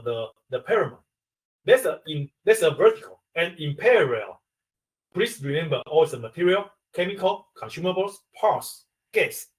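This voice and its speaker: A man with a Taiwanese accent, an industry expert on a webinar, explaining step by step in halting English. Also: a little high, distant mic, rough recording. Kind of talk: webinar answer to a question.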